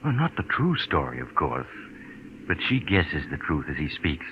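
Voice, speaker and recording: An actor playing an ominous, knowing storyteller, narrating a 1940s radio mystery. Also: male voice, extremely low pitch, big pitch swings, normal speed. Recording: noisy, muffled old radio recording.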